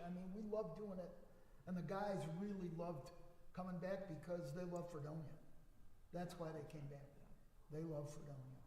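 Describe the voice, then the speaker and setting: A man on an alumni panel speaks with a loud, earnest voice and trips over repeated words. His pitch sits somewhat high for a man. The room around him is echoey, with a long hall reverb. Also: slight background noise. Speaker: alumni panelist, former bandleader. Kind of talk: panel discussion.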